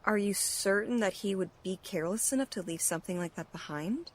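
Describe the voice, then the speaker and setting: A woman's voice: medium pitch, fairly quick, close to an everyday voice. A woman acting in an audio drama as a cool, shrewd, understated member of a vigilante team. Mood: questioning. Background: music.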